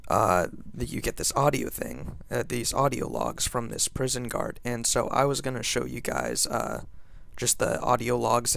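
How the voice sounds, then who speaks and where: A young man talks casually through a gameplay video. His voice has noticeable fry and sounds a little sick. He is informal and hesitant in his delivery. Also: medium pitch, fairly bright tone. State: sick, voice slightly off. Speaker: gaming video creator.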